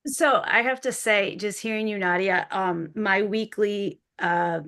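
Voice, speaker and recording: A woman's voice: medium pitch, unhurried, a bit bright. A woman talking informally as a podcast panel guest, in an effusive, rambling way. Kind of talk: informal unscripted panel talk.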